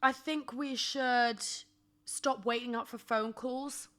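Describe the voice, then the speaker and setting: A woman's voice: fairly high pitch, steady tone, slow pace, a bit bright. A woman in her twenties chatting casually as a solo podcast host, emphatic and sassy, often repeating phrases for comic effect. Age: 20s. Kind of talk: casual solo chat with humorous rants.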